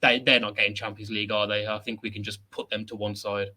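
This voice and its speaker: Chatty self-deprecating football show host. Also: male voice, fairly low pitch, flat delivery, quick pace, bright, forceful tone, a little hiss or hum. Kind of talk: casual football chat. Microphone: laptop setup without headset.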